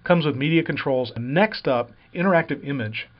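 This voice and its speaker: A man talking through a software tutorial over a screen recording, explaining plainly with frequent uh and um fillers. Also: fairly high pitch, normal speed, muffled recording.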